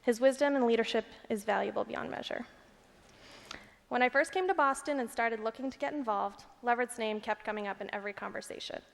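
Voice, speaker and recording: Higher-pitched woman reading a speech in echoey hall. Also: a little fast, creaky. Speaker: staffer reading remarks on another's behalf. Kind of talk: prepared speech read aloud at an awards ceremony. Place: large indoor event hall. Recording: echoey hall with long reverb.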